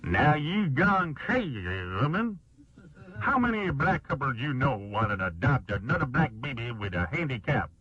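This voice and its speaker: A man's voice: medium pitch, big pitch swings, moderate pace, highs cut off, crowd noise, natural voice. A man voicing an easygoing elderly grandfather who scolds his wife in a radio comedy sketch, drawling and mock-patient. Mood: arguing.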